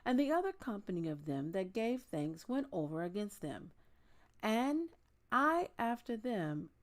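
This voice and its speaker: A woman hosting a Bible reading, speaking in a measured, deliberate manner as she reads aloud and talks informally to listeners. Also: medium pitch, big pitch swings. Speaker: host of a daily Bible reading. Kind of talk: reading scripture aloud with casual asides.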